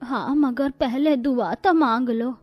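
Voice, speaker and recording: Cartoon role: old, devout, lamenting granny female performer, high-pitched, drawn-out delivery, close dry sound. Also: no room sound, dull audio, faint music behind. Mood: prayerful. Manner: plaintive, lamenting. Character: devout old grandmother, sorrowful, poor and scolding.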